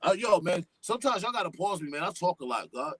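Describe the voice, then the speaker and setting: Deep-voiced New rapper, animated and streetwise. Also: male voice, fast talker, husky, no echo. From New York. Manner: animated, streetwise slang, swears freely, talks at length. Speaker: guest hip-hop artist. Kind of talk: casual podcast chat.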